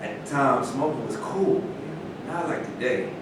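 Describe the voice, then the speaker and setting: A man's voice: animated, unhurried, natural voice. A man acting in a staged play reading as an aggrieved, cynical famous former athlete, speaking conversationally. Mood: wry. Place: live staged reading in a roomy indoor space. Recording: echoey room from far off, rumbling noise.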